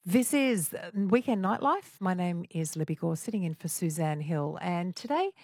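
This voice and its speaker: A woman's voice: lowish voice, lively intonation, moderate pace, darkish tone, slightly rough, no echo, studio quality. Australian woman hosting live talkback radio. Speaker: radio host. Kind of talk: live late-night talkback radio chat.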